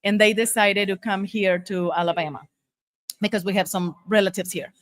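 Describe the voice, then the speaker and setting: A woman's voice: medium pitch, steady tone, normal speed. A middle-aged woman from Monterrey, Mexico, a native Spanish speaker, telling her story casually and emphatically as an interview guest. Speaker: guest, taco shop owner.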